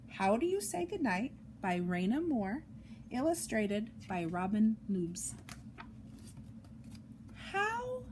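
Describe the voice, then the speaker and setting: A woman reads a picture book aloud to young children in an expressive, sing-song way, adding chatty asides, on an amateur home recording. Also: sits high, a little hiss or hum. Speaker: storytime reader for young children.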